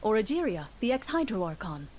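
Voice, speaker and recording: A woman playing a composed young game master in an anime-style game, explaining lore in a measured, articulate way. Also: mid-range voice, sing-song, a little mellow. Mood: composed, explanatory.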